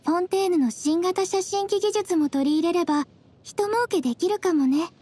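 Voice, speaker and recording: A woman playing a composed, quietly teasing young female traveler in an anime game, speaking politely and matter-of-factly. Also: very high-pitched, moderate pace.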